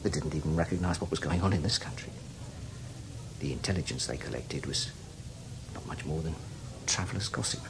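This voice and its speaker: An actor playing a seasoned, cynical older British spy in a radio drama, talking in a wry, knowing, emphatic way. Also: male voice, a bass voice, brisk, noisy background. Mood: scornful, explanatory. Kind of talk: radio drama acting, conversational.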